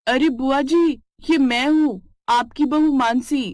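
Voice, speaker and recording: Young put-upon daughter-in-law for a cartoon, voiced by a woman, polite and flustered, bright. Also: high voice, slow, no echo.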